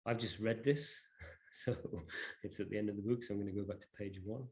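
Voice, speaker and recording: A man's voice: on the low side, steady tone, a little fast, darkish tone. A man presenting an informal live product demonstration, explaining things in a hesitant way. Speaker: presenter demonstrating a digital library. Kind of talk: live product demo to an audience.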